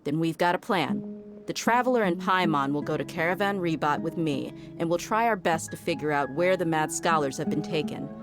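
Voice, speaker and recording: A woman playing a tough, protective desert mercenary in a video game, measured and serious. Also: low-pitched. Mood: firm, businesslike. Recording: music playing behind the voice. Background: game background music.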